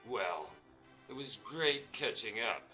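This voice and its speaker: A man playing a reluctant, sarcastic space adventurer in a radio sci-fi comedy, dry, halting and offhand. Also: medium pitch, big pitch swings, pushed and bright, faint music behind, full character voice. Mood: dry, awkward.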